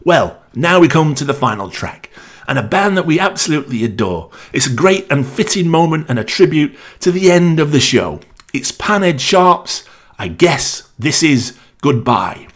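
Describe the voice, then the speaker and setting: A man's voice: a little high, sing-song, normal speed. A man hosting a new music radio show, announcing tracks and reading out a countdown in an upbeat way.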